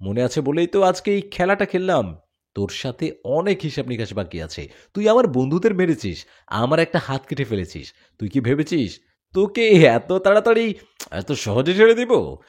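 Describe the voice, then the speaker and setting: A man's voice: on the high side, very animated, moderate pace, a little mellow, pitched above the performer's own voice. A man doing a taunting vengeful jinn villain. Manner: mocking, threatening.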